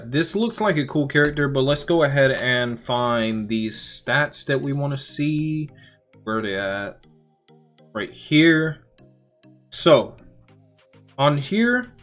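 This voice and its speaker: A man's voice: medium pitch, a bit bright. A young man giving casual reaction commentary as a gaming creator, thinking aloud in a conversational way. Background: music.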